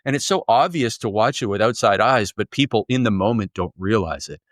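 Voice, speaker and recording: A man's voice: on the low side, expressive, fairly quick, no echo, studio quality. A man from Canada, a guest author, answering interview questions in a fluent, upbeat, storytelling way. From Canada, near Toronto.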